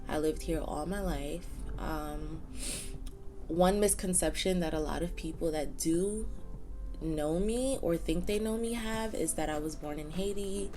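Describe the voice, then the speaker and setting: A young woman from Queens talks in a relaxed, chatty way, with a fairly low voice. She scatters fillers through her sentences and laughs easily. Faint music sits behind her. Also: a little slow. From Queens, New York City. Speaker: vlogger. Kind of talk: casual get-to-know-me chat.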